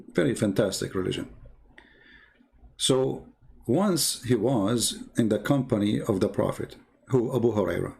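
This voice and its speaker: A man with a gravelly, creaky voice and non-native English presents an informal, polemical commentary. He sits at a slightly low pitch for a man and tells stories with sardonic mockery, often halting and repeating himself as he goes. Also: a little mellow. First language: not English. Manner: sardonic, mocking. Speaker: commentator presenting religious texts. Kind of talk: informal polemical commentary, retelling and reading aloud.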